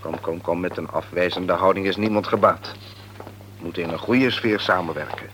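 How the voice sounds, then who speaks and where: A deep-voiced office schemer in a radio drama, smug and patronising. He speaks formally over an old recording with faint noise. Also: fairly even intonation, fairly quick, fairly bright tone, low-quality recording. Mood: smugly polite. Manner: smooth-talking, patronising, formal. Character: smug, condescending male office rival. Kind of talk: Dutch radio play acting.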